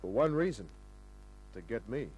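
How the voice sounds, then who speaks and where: A man's voice: medium pitch, sing-song, moderate pace, a little mellow, soft background music, natural voice. An Australian man acting a wry, tough, flirtatious private investigator in a laconic 1950s radio crime drama style. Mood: guarded, dry. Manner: laconic, wisecracking. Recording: noisy old radio recording with hum.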